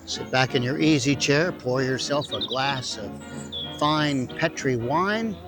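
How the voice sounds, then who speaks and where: A man hosting an audio drama, reading scripted narration emphatically with deliberate dramatic pauses. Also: mid-range voice, music in the background. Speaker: host introducing an audio drama.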